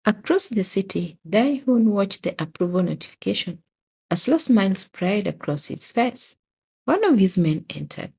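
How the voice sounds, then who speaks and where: Husky woman narrating a dramatic story. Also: mid-range voice, very animated, moderate pace, darkish tone.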